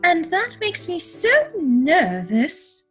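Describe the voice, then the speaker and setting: A woman's voice: extremely high pitch, sing-song, slow, faint music behind, heavily acted. A woman playing a prim, self-assured young society lady in a stage comedy reading, affected, coy and precise. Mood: coy, confident.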